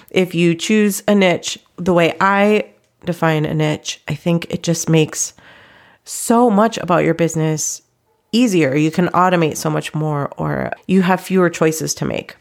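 A woman's voice: low-pitched, gravelly. A woman hosting a podcast solo in a conversational, explanatory way, sounding congested and slightly stuffy from a cold. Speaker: podcast host.

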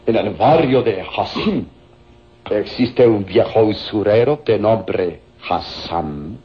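An actor playing a corpulent, greedy villain in a radio serial, with a sly, taunting manner. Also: male voice, lowish voice, lively intonation. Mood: scheming. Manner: sly, taunting, drawn-out delivery with menacing chuckles. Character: corpulent, greedy, sinister male villain hiring killers. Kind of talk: Spanish-language radio serial acting. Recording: dull, hissy old radio recording.

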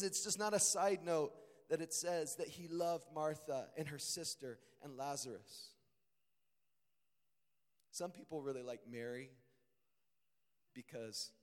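A man preaching a sermon, his voice hoarse and strained, earnest and rising to emphatic peaks. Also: a little high. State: strained voice, deeper than usual. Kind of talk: sermon reading and expounding scripture. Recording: long hall reverb.

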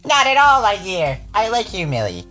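A man voicing a sinister, bodiless voice that slowly mocks and taunts a trapped girl, read aloud from a storybook. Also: sits high, wildly swooping pitch, pushed and bright, faint music behind, pitched above the performer's own voice, exaggerated voice. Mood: gloating menace. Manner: mocking, slow, relishing cruelty.

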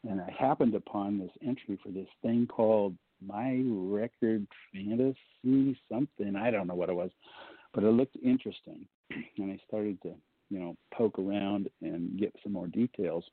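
Low-voiced man on a phone line. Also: moderate pace, breathy edge, dry recording. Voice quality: low baritone voice. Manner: rambling, joking, many fillers. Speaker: guest. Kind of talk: casual storytelling on a call-in show.

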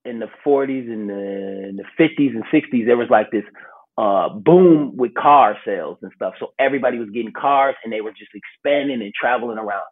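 An American man telling stories casually as a guest, slangy and animated in the way he acts out little exclamations. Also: mid-range voice, fairly quick. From Milwaukee, United States. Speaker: podcast guest, travel series host. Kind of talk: casual conversational storytelling. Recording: thin phone-like call audio.

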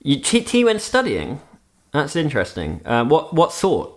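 A man with an English accent, raised in Switzerland, co-hosting a relaxed podcast. He talks in a mid-range voice with a lot of laughter and quick restarts, his pitch jumping up and down as he gets animated. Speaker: podcast co-host. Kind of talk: casual chat.

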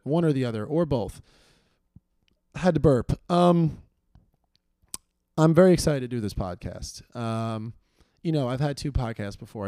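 Hoarse rambling man hosting a podcast. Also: medium pitch, warm tone, no echo. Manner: rambling, self-deprecating, lots of fillers. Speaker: podcast host. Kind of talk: casual solo intro monologue.

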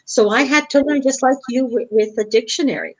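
A woman's voice: high voice, fairly quick, poor audio. A woman from Canada hosting a talk show, chatting casually and upbeat, laughing easily. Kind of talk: casual interview chat.

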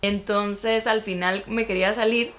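A woman's voice: medium pitch, unhurried. A woman in her twenties from Guatemala with a Guatemalan Spanish accent, chatting casually as a podcast guest. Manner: chatty, animated storytelling with quoted asides. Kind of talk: casual chat.

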